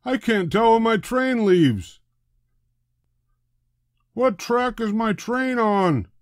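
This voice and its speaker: Guy putting on a loud complaining train passenger for a kids story, way up in pitch. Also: animated, slow, no echo, clean studio sound, heavily acted. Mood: agitated.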